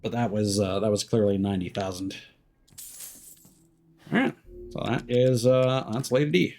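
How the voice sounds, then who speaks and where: A man's voice: lowish voice, normal speed, darkish tone, faint music behind. A man streaming a video game, giving casual live commentary and thinking aloud as he plays.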